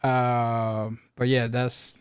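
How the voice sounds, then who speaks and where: A man's voice: medium pitch, little pitch movement, smooth, no echo. A middle-aged father co-hosting a family podcast at home, speaking earnestly and thoughtfully into a close studio mic. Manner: earnest, thoughtful, halting with fillers. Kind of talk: casual family podcast talk. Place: home room. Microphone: studio mic on boom, headset.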